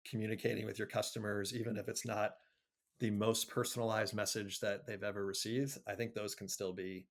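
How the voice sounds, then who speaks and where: A man answering interview questions conversationally, thinking aloud in a measured way with frequent pauses. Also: fairly low pitch, flat delivery. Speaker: interview guest, marketing agency executive.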